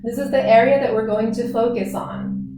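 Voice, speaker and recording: A woman teaching gentle yoga, giving calm, unhurried and soothing guided instructions. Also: fairly high pitch, over music. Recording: very echoey room, distant mic.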